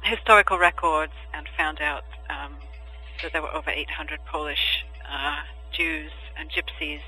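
Bright-voiced man, hesitant and reflective. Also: fairly high pitch, rough recording. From England and Germany. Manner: thoughtful, hesitant, many ums and restarts. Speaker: poet, interview guest. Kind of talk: podcast interview answers.

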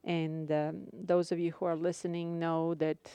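A woman with a Croatian accent, a course instructor giving a live radio lecture to her students, speaking carefully with frequent filler pauses. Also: fairly low pitch, level tone, warm tone, clear, resonant tone, no echo.

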